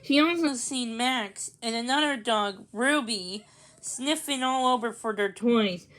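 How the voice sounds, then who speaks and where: A woman reading a children's story aloud, expressive and a little halting, with small stumbles. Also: fairly high pitch. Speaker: storybook reader.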